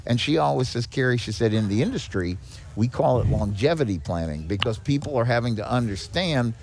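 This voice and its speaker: A man's voice: medium pitch, expressive, a little fast, darkish tone. An older man talking conversationally as a podcast guest, explaining with anecdotes in a folksy, emphatic way and repeating key points for weight. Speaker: podcast guest, head of a seniors' nonprofit. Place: on location at a garage.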